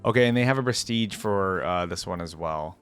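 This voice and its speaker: A man's voice: low voice, a little fast, a bit bright, clear, resonant tone, soft background music. A man giving casual reaction commentary in an informal, slangy gamer way. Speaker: reaction video host.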